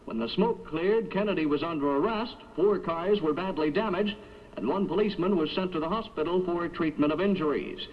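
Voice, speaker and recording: High-pitched man reading 1970s radio news. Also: fairly quick. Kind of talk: scripted 1970s radio newscast. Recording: dull vintage AM radio aircheck.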